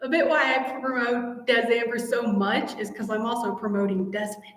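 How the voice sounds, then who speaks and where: A woman with a fairly high voice hosts a casual live Q&A for fellow fans. She talks with gushing enthusiasm, chatting directly to her viewers. The room is very echoey, leaving a long reverb on her voice. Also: fairly even intonation, normal speed. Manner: gushing, enthusiastic fan talk. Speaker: host of a fan channel.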